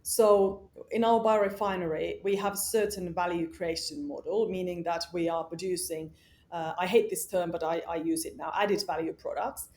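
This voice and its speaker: A woman's voice: fairly low pitch, steady tone, moderate pace, a little mellow. A woman, a company founder talking emphatically and outspokenly in an interview, with lots of "uh" and "you know". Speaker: company founder and CEO, guest. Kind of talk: casual interview conversation.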